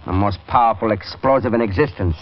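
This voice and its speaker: A man plays a cold, scheming crime boss from a vintage radio thriller. He speaks low, curt and commanding, with open menace. The recording is dull and narrow. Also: fast, natural voice. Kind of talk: old-time radio drama acting.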